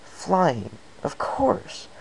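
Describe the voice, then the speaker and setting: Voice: mid-range voice, wildly swooping pitch, extremely slow, gravelly, a little mellow, a little hiss or hum, pitched above the performer's own voice, exaggerated voice, rough recording. A performer voicing an earnest young male pegasus pony in a storybook reading, anxious and a little hesitant. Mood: excited.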